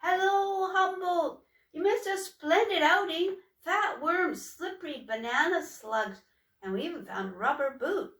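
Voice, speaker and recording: A woman reading aloud plays a bubbly, bossy troll sister who gushes eagerly and breathlessly. The voice is pitched very high and projected loudly. It comes from some distance in a roomy, echoing space. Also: sing-song, a little slow, full character voice. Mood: excited. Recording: echoey room, distant mic.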